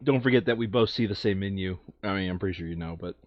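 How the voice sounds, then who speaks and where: A man with a fairly low voice chats casually over a board game, thinking out loud as he goes. He can get animated. The recording is dry and a little dull. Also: fast talker, no echo. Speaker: board game player on a playthrough video. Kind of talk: casual chat while playing a board game.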